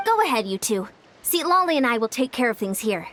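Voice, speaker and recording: A woman voicing a cautious, level-headed commander who talks in a measured way. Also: on the high side, sing-song, pushed and bright, slight background noise. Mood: wary. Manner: measured, wary. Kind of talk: video game voice acting. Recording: game dialogue over music.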